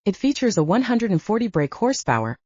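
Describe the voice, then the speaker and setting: A woman's voice: medium pitch, big pitch swings, quick pace, mellow, no echo, voice pushed up. A woman voicing an intense, earnest adult male werewolf with formal phrasing in an audiobook story. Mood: enthralled. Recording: dull lo-fi audiobook recording.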